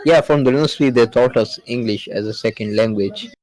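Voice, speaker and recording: A man's voice: medium pitch, a little fast, darkish tone, no room sound, rough recording. A man whose first language is Urdu, chatting casually in English on a podcast, hesitant, with many fillers and restarts.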